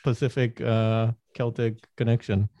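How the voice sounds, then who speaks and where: A man's voice: mid-range voice, level tone, measured pace, mellow, no echo, highs cut off. A man speaking as a podcast guest, eager and chatty, often repeating words as he talks. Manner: enthusiastic, stammering repetitions, frequent "yeah, yeah, yeah". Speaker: podcast guest, creator of an art project. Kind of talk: casual interview chat.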